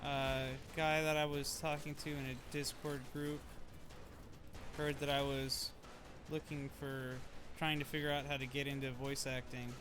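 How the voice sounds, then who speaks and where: A man's voice: medium pitch, a little slow. A young man streaming and chatting casually, rambling with lots of fillers. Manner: rambling, relaxed, many fillers. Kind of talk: casual chat. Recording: loud gunfire game noise behind. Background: loud game gunfire.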